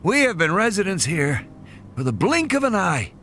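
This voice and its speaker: A man's voice: high voice, big pitch swings, normal speed, fairly bright tone, a little hiss or hum, pitched above the performer's own voice. A man voicing a weary, cynical scientist who lectures with scorn in emphatic, dramatic phrases for an audio play. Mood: scornful, grave.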